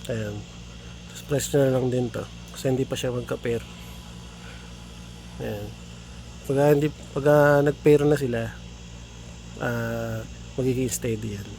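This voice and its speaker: Casting: Filipino-accented man for informal product walkthrough, fairly low pitch, gravelly edge, unscripted delivery. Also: measured pace, pauses often, warm tone. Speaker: product tutorial presenter. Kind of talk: casual hands-on product demonstration. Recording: clearly noisy background.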